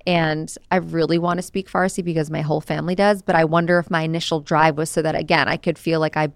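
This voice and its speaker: A woman's voice: deep voice, little pitch movement, fast talker, no room sound. An American woman co-hosting a podcast, speaking earnestly and reflectively in casual chat and laughing easily. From United States. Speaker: podcast co-host.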